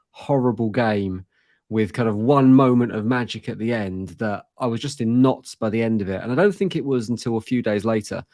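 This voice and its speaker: A man with a southern English accent, softened by living in the north, talks football as a guest. He is articulate and self-deprecating, with a slightly low voice. The recording is dry, with no room sound. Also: no echo. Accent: southern English, softened by years in Manchester. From southern England. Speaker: guest, rival fan. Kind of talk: casual football chat.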